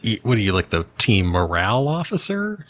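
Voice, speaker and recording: A man's voice: fairly low pitch, sing-song, moderate pace, a bit bright. A man chatting casually as a tabletop game player, with a wry, joking manner. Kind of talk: casual chat.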